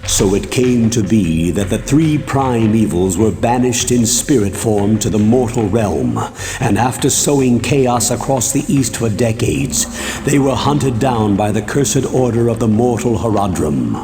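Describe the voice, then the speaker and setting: An actor playing a solemn, ominous chronicler of demonic lore in a fantasy video game, speaking in a grave, archaic, measured manner. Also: male voice, lowish voice, close to an everyday voice. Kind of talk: scripted dark fantasy game narration.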